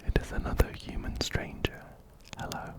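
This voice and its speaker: A man whispering softly and breathily, close up to a binaural microphone, gently repeating phrases as he gives soothing ASMR game commentary. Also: normal speed. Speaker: ASMR host playing a video game.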